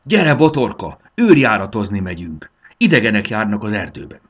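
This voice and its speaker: A man voicing a cantankerous, blustering ancient dragon from a children's storybook, with a croaking, bellowing delivery. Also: on the low side, wildly swooping pitch, pushed and bright, exaggerated voice. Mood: commanding. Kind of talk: dramatized children's storybook reading.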